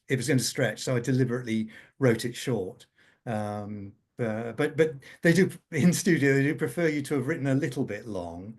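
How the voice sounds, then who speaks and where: A man speaking as a scriptwriter on a panel, explaining things conversationally with halting, repeated phrases. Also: mid-range voice, a little mellow. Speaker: radio drama scriptwriter, panel guest.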